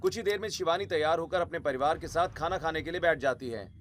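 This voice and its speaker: A man's voice: fairly high pitch, fast, a bit bright. A man narrating a scripted Hindi story.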